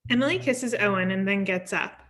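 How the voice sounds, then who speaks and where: A woman's voice: medium pitch, a little fast, a bit bright. A woman reading stage directions as scripted narration for a table read.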